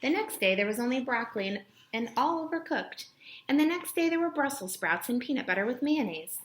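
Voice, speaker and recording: A woman's voice: high-pitched, animated, brisk. A woman reading a children's storybook aloud to a young child. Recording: noisy home recording with clicks.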